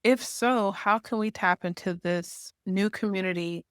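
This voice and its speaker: A woman's voice: mid-range voice, unhurried, smooth, dry recording, studio quality. Articulate woman explaining marketing strategy. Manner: explanatory, tags points with "right?". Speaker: podcast guest, marketing association founder. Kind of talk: conversational interview.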